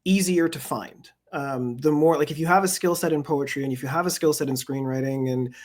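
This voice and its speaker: A Canadian man, a poet, talking casually in an interview and reading his poems aloud in a measured cadence. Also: fairly high pitch, darkish tone. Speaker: poet and podcast guest. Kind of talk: conversational interview and poetry reading.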